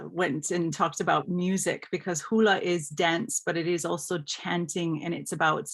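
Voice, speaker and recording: A woman's voice: on the low side, vocal fry. A woman from Hawaii speaking as a scholar on a panel, explaining things in a reflective, thoughtful way.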